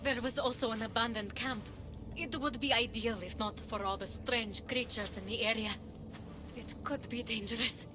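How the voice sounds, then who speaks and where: A woman's voice: fairly high pitch, fairly even intonation, normal speed, lightly acted. A woman acting as a frightened, suffering refugee in a video game, hesitant and pleading. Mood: wary, resigned. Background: game soundtrack music.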